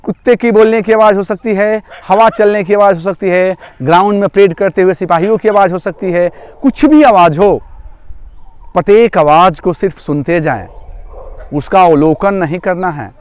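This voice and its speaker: A man's voice: high-pitched, normal speed, clean tone. A man guiding a yoga nidra relaxation as instructor, giving repetitive, step-by-step instructions. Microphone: microphone through a loudspeaker.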